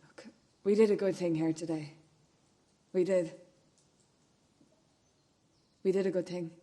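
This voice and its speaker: A woman's voice: fairly low pitch, steady tone, measured pace, mellow, lightly acted. An actress playing an intense, insistent woman in radio play dialogue, speaking urgently and repeating herself. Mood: urgent, pleading.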